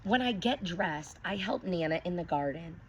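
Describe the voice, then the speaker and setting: A woman teacher reading a picture book aloud to young children, expressive, stopping to ask them questions. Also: lowish voice, moderate pace, a little hiss or hum, poor audio.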